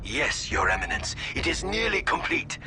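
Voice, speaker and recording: An actor playing a dutiful alien military commander in a video game cutscene, speaking in a formal, deferential, declamatory way. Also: male voice, mid-range voice, big pitch swings, moderate pace, loud music behind the voice, natural voice. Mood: formal, respectful.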